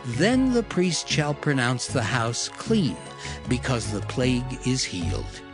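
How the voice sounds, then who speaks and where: A man narrating scripture from a script in a measured, solemn manner. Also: medium pitch, very animated. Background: background music.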